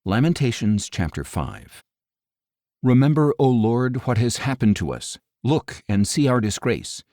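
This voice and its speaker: A man narrating scripture as an audiobook, with a solemn, measured delivery and deliberate phrasing. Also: medium pitch, sing-song, warm tone, dry recording, studio quality.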